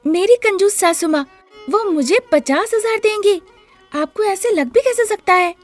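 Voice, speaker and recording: A woman voicing a sharp, mocking young daughter-in-law in an animated, incredulous manner for a story. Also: very high voice, unhurried, soft background music, pitched above the performer's own voice.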